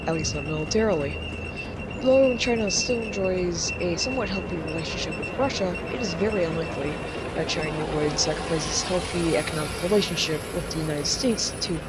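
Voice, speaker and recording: A woman acts out a female war correspondent in a radio news drama, speaking on the lower side from the front line. The report comes through clear outdoor noise with a rumble of vehicles. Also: close to an everyday voice.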